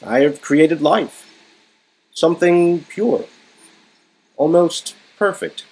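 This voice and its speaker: A man's voice: on the high side, takes it slowly, a little mellow, slightly rough, low-quality recording. A man playing a smug, grandiose villain with a pompous, theatrical delivery in a story-game reading. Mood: grandiose, rapt. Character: smug, grandiose male villain boasting of his creation. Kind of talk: amateur dialogue reading of an interactive story game. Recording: music under the voice.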